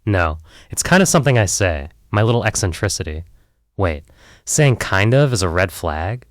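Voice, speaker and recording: A man acts out a self-doubting filmmaker on the therapy couch in an audio play. His voice sits low but rises and falls in lively sweeps. He is candid and wry, rambling and hedging as he goes, in a dry, close recording. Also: normal speed, no echo. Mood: hesitant, defensive. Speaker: therapy client. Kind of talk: conversational audio play acting.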